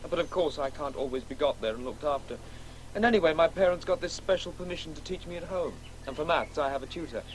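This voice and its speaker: Male actor as a precocious, rather high-voiced boy. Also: slight background noise, close to an everyday voice, rough recording. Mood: earnest, chatty. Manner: articulate, insistent, eager. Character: precocious schoolboy in wheelchair, earnest, confident, chatty. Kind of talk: naturalistic radio play dialogue.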